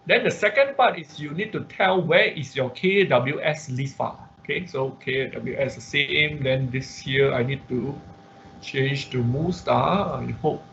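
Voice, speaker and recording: A man with a creaky voice gives a live online lesson, explaining one step at a time. His delivery is halting and repetitive, with frequent checks that people follow. The audio is dull and lo-fi, with some background noise. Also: medium pitch, fairly bright tone. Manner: halting, repetitive, checks understanding with "okay" and "right". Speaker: instructor. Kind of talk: live online tutorial, talking through commands step by step. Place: online class from a computer.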